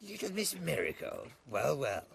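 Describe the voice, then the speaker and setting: An actor playing a haughty, condescending mage in a video game, speaking in a pompous, sardonic way. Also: male voice, mid-range voice, wildly swooping pitch, normal speed, creaky, slight background noise, full character voice. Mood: haughty, scornful. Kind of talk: video game character acting.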